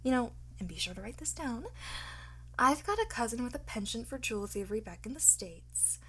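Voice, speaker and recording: A woman playing a cocky, flirtatious art thief in an ASMR roleplay, teasing and smug, often slipping into breathy whispers. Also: fairly high pitch, very animated, moderate pace, sharp tone, heavily acted. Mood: boastful, theatrical. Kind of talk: ASMR roleplay, one-sided acted dialogue.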